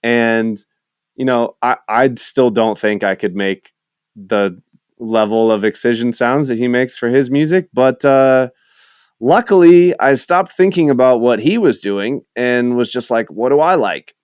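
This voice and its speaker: A man, a music producer guest on a podcast, talking casually in a relaxed, rambling way with many filler words. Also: mid-range voice, unhurried, smooth, no echo, studio quality. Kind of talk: casual chat.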